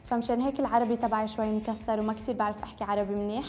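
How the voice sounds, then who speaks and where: A woman's voice: on the high side, steady tone, rapid-fire, mellow. Chatty young woman with a northern Lebanese accent. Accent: Tripoli Lebanese Arabic with Syrian Homs touches. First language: French. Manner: mixes English words into Arabic, chatty and self-correcting. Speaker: beauty vlogger. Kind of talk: casual chat to camera.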